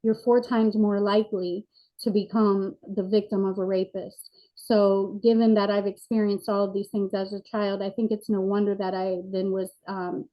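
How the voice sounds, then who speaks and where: A woman's voice: mid-range voice, level tone, normal speed, mellow. A woman in her forties speaking as an interview guest, earnest and reflective, with frequent fillers, over a video call. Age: 40s. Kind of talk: candid personal interview. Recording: dull lo-fi sound.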